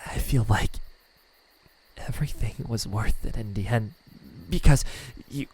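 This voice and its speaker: A man voicing a lonely, tender demon in love in an ASMR roleplay, speaking softly and haltingly, often near a whisper. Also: fairly low pitch. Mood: earnest, tender. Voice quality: breathy, soft, half-whispered. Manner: hesitant, halting, stammering. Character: lovesick male demon, lonely, tender, self-doubting.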